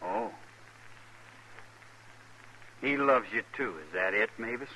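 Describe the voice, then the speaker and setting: An actor playing a stubborn, forthright young cowboy husband in an old radio western, with a rural American accent. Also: male voice, lowish voice, lively intonation, normal speed, pushed and bright, slight background noise, low-quality recording. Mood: puzzled. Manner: plain, forthright. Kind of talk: mid-century American radio western acting.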